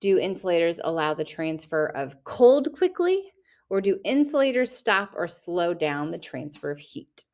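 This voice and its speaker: Woman teacher, lowish voice, patient read-aloud walk through a class test, recorded at her computer. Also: big pitch swings, normal speed, darkish tone. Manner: patient, asks questions back to the listener. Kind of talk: reading a test aloud to students.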